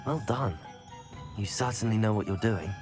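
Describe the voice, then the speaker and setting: A man's voice: lowish voice, normal speed, darkish tone, close to an everyday voice. A young man acting a composed, courteous teenage student wizard in a game cutscene. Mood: curious, friendly. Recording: music under the voice.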